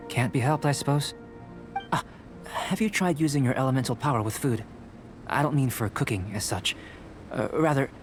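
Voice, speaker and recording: A man playing a composed young alchemist in a video game, speaking in a measured, formal, analytical manner. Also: mid-range voice, lightly acted. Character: calm, courteous young male alchemist and researcher. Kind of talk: video game character dialogue.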